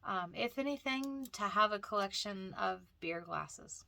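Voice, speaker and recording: A woman's voice: mid-range voice, animated, measured pace, gravelly, sharp tone, no room sound. A woman with a cold and a stuffy, nasal voice, chatting casually as she narrates a walk through a thrift store. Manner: chatty, rambling, frequent fillers and restarts.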